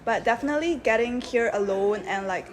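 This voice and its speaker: A young woman student in a relaxed, casual way, listing her favorite places to visit. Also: on the high side, fairly quick. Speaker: summer school student. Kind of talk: casual recommendations.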